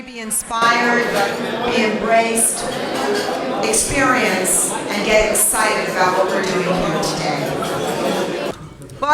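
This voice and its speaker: A woman hosting a luncheon, welcoming guests and giving background information, who stumbles over place names and laughs it off. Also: mid-range voice. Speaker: event host. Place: large hall at a public event. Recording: echoey hall from far off with crowd.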